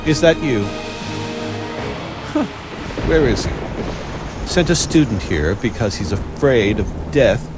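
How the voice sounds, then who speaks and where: A wrathful, embittered villain of a fantasy game, hurls accusations with contempt. His mid-pitched male voice swings widely in melody over prominent music. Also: lively intonation, takes it slowly, pauses often. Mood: scornful. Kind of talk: dramatic video game voice acting.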